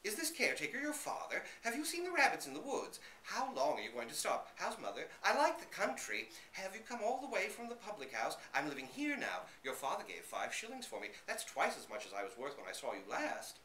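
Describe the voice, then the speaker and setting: A man's voice: sits high, wildly swooping pitch, fairly quick, hardly any pauses, pushed and bright, far from the mic, pitched above the performer's own voice, exaggerated voice. A man voicing an eager, naive, friendly dog who tells his own story with bubbling enthusiasm. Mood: gushing, eager. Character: excitable, naive, chummy young male dog telling his story. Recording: roomy live reading, slight background noise.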